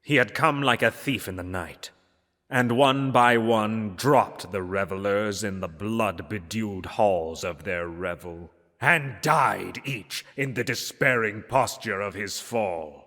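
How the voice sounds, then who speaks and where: Low-voiced solemn male narrator in long reverb. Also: pushed and bright. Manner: solemn, theatrical, measured. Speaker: narrator, reader. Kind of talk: dramatic gothic story reading.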